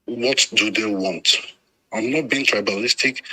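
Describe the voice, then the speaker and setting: A man's voice: on the low side, unhurried, sharp tone, low-quality recording. A man from Lagos with a Nigerian accent, calling a radio phone-in, speaking emphatically and spontaneously with repetition and some Pidgin. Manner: agitated, emphatic, repeats himself.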